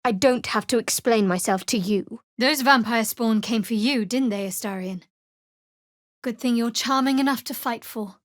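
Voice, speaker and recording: A woman playing a guarded, sardonic young cleric of a dark goddess in a fantasy video game, speaking in a dry, measured way. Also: on the high side, dry recording, natural voice. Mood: dry, wry. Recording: dry close studio recording.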